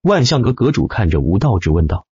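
Husky, animated male audiobook narrator. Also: fairly low pitch, brisk, dry recording, dull audio. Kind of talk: dramatic scripted web-novel narration.

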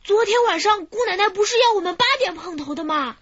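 An actress playing an eager, chattering young apprentice thief in a radio drama. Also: female voice, very high voice, lively intonation, fairly quick, fairly bright tone, lo-fi sound, a little hiss or hum. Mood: urgent.

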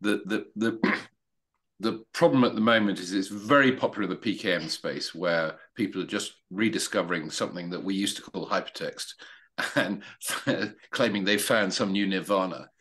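A man speaking casually on a video call, opinionated, with frequent halting restarts. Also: deep voice, a bit bright. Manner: hesitant, many restarts, opinionated. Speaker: meetup participant.

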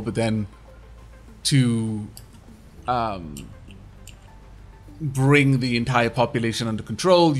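A young man with a Welsh accent chatting casually while playing a game with a friend, rambling with lots of fillers. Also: fairly low pitch. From Wales. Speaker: gaming streamer. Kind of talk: casual co-op gameplay chat.

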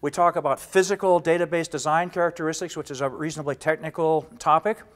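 Older man, fairly high voice, measured and explanatory, restarts phrases, lapel mic, studio. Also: steady tone. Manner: measured and explanatory, gesturing with both hands, frequent restarts. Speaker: data warehouse instructor. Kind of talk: explaining a training course to camera.

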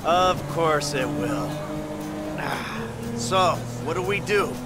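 A man's voice: on the high side, animated, drawn-out words. A man voicing a reassuring, world-weary middle-aged career bank robber in a video game, with an American accent and casual, profane delivery. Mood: wry, weary. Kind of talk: naturalistic video game dialogue. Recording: loud music over car noise.

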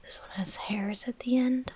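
A woman in her twenties giving soft-spoken ASMR game commentary in a breathy, gentle whisper, unhurried and close to the microphone. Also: fairly high pitch, fairly even intonation. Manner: slow, unhurried, gentle murmuring. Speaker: ASMR streamer. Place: small indoor room at a desk. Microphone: close desk microphone.

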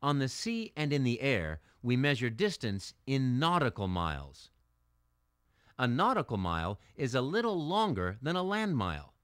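An American man reading scripted narration for an educational video, explaining things clearly in measured phrases. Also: medium pitch, big pitch swings, a bit bright, no room sound, clean studio sound.

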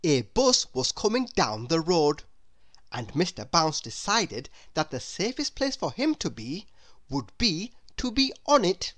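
A woman narrates a children's storybook with great expression. Her voice sits a little low and swings widely in pitch. She reads slowly and deliberately. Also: very animated. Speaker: storybook reader.

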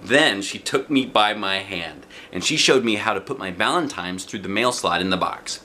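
Animated man reading a children's book aloud. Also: on the low side, normal speed. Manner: theatrical, playful emphasis. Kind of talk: expressive read-aloud narration. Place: small living room, indoors. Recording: faint background room noise.

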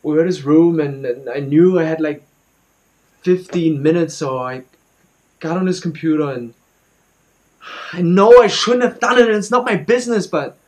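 A young man performing a dramatic monologue as an anxious, guilt-ridden student, speaking haltingly and repeating his words. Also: a little high, a little mellow, rough recording. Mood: guilty, hesitant. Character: anxious, guilt-ridden student confiding about a troubled friend.

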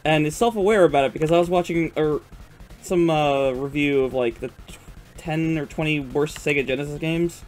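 A man's voice: fairly high pitch, normal speed, soft background music. A man who stutters, chatting casually and profanely during a live art stream, rambling and self-deprecating.

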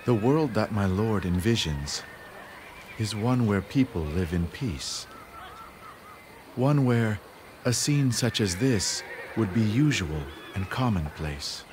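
Slow, low-voiced, wise strategist. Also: male voice, lively intonation, darkish tone, slight background noise. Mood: earnest. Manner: formal, composed, deliberate. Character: calm, wise gentleman strategist of ancient China. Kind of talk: dubbed video game cutscene acting.

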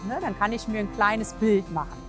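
A woman's voice: mid-range voice, a little fast, music in the background. A woman working as a horse physiotherapist, chatting casually and encouragingly outdoors, with colloquial praise and coaxing. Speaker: horse physiotherapist assessing a rider and mare.

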